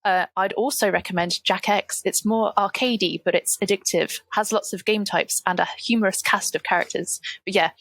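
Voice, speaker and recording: Woman chatting on a podcast, going a bit hoarse, dead dry sound. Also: medium pitch, fairly even intonation, moderate pace, hardly any pauses, fairly bright tone, no room sound. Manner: rambling and self-deprecating, with frequent fillers. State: losing her voice. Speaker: podcast regular panelist. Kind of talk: casual podcast chat.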